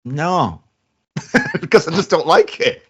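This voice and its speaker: A man's voice: fairly high pitch, huge pitch swings, takes it slowly, slightly rough. A man co-hosting a casual comedy podcast, hesitant, with many stammered restarts and giggles. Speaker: podcast co-host. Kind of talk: casual banter.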